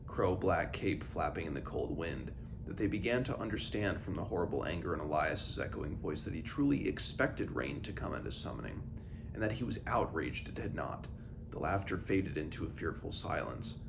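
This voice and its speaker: A man's voice: low voice, animated, normal speed. A man hosting an informal book read-along, mixing read-aloud passages with his own commentary in stop-and-go phrasing. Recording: clearly noisy background ambience.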